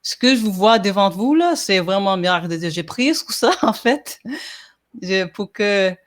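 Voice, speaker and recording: A woman's voice: mid-range voice, expressive, moderate pace. A woman from Montreal whose first language is English, a podcast guest talking in a casual interview, hesitant with many fillers and restarts. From Montreal, Quebec.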